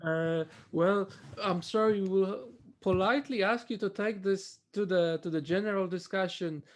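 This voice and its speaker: A man with a high voice steps in as moderator. He speaks politely and haltingly over a video call, repeating words as he searches for them. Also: a little hiss or hum. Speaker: symposium organizer and moderator. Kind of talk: moderating an academic meeting.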